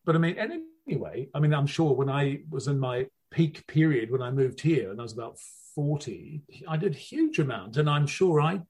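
A man's voice: on the high side, normal speed, breathy edge. A man in his sixties, an audiobook narrator, telling rambling anecdotes in an interview with lots of restarts. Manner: rambling, restarts often, animated storytelling.

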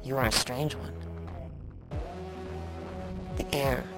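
A woman voicing the spirit of a confined mad woman from the past, speaking in a measured, flat, incantatory way over loud music. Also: very deep voice. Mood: weary. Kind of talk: audio drama.

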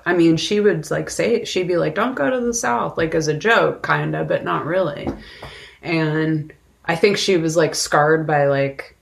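A woman chats casually as a podcast co-host. Her voice sits deep for a woman and has a noticeable crackle. She speaks unhurriedly and thoughtfully, searching for the right word as she goes. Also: a little slow, vocal fry. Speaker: podcast co-host discussing films. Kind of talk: casual conversational film discussion.

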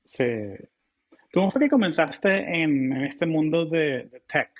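A man hosts a podcast interview in an easy, conversational way, often restarting his sentences. His voice sits a little high for a man. He comes across as a chatty Latin American host. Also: takes it slowly. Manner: relaxed, chatty, with frequent restarts.

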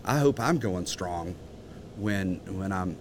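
A man's voice: low-pitched, lively intonation, takes it slowly, slight background noise. A man in his forties, a guest in a casual interview, talking earnestly with many restarts. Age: 40s. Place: outdoor conference grounds.

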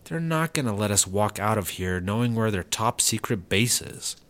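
A man's voice: on the low side, expressive, moderate pace, fairly bright tone. A man acting an uptight, practical, anxious straight man in a sci-fi comedy audio drama. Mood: worried. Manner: wary, exasperated straight man. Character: uptight, anxious, practical male partner prone to paranoia.

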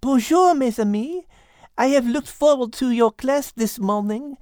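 High-voiced French lady cat. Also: mellow, no echo, studio quality. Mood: cheerful. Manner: brisk, commanding, peppers talk with French words. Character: perky, bossy French female cat. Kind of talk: scripted character acting for children's podcast.

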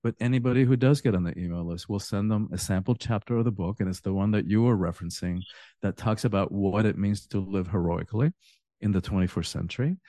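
A man's voice: fairly low pitch, fast, warm tone, no room sound, studio quality. A man born in Cuba, an author talking as a podcast guest, earnest, often repeating words as he begins a thought. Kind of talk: casual interview talk.

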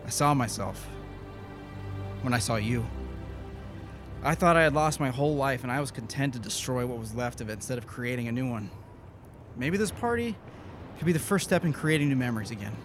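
An actor playing a weary but defiant leader of a science team in an audio drama, with a casual, wry delivery. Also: male voice, mid-range voice, animated, fairly quick, long pauses, over music, close to an everyday voice. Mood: reflective, sincere.

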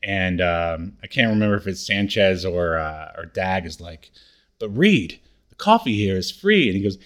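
A man co-hosting a podcast, casually recapping a TV comedy in a halting way. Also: low-pitched, expressive, a bit bright. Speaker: podcast co-host.

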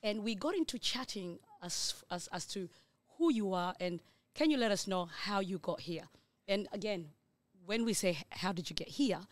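A woman's voice: mid-range voice, lively intonation, normal speed, no room sound. A woman from the Democratic Republic of the Congo, hosting a talk show in a casual chatting style. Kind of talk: casual chat, interviewing.

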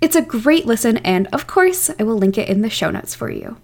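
High voiced girl podcast presenter, friendly n whimsical, talking right to the listener. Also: lively intonation, fairly quick, darkish tone. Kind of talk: recommendation segment addressed to listeners.

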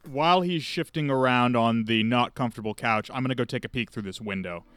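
A man's voice: mid-range voice, fairly quick, fairly bright tone, clean tone, dry recording. A man casually describing his character's moves as a player in a tabletop game. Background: faint music.

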